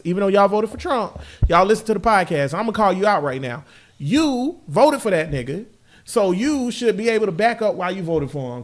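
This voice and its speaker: Animated, high-voiced man hosting a casual podcast. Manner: brash, teasing, animated. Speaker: podcast host. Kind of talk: casual banter, slangy and profane.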